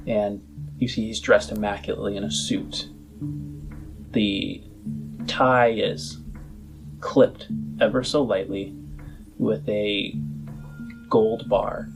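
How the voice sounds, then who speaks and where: A low-pitched man narrates a tabletop roleplaying game as its game master. He speaks very slowly and descriptively, leaving dramatic pauses. Soft music plays behind him. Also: fairly even intonation, rough recording.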